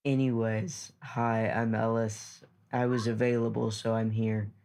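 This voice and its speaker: A man bantering casually as a player on a tabletop game podcast in a deadpan manner. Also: on the low side, unhurried.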